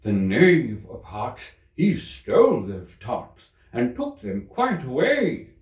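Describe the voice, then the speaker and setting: Shrill, proclaiming rabbit herald. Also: male voice, wildly swooping pitch, a little mellow. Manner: proclaiming, hurried. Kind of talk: audiobook character voice. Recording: roomy, slightly distant microphone.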